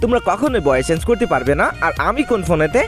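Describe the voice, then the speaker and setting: A high-pitched man hosts a gaming tutorial at a rapid-fire pace. He narrates step by step as a voice-over, close to the mic, with faint music playing behind him. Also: very animated. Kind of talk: scripted how-to video narration. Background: faint background music.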